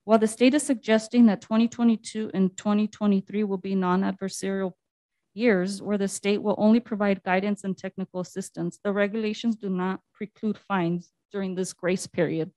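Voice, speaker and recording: A woman's voice: mid-range voice, steady tone, brisk, dark tone, no room sound, rough recording. A woman on city staff presenting to a council meeting, reading slide text aloud with frequent fillers.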